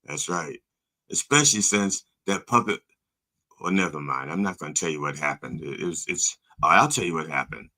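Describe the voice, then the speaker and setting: A hoarse man with a deep, low voice that still comes through bright and forceful. He rambles through a mock sermon, swearing freely and breaking off mid-thought to start again. It sounds like a casual podcast from a home setup. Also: normal speed. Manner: rambling, profane, self-interrupting. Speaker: comedic podcast host playing a reverend.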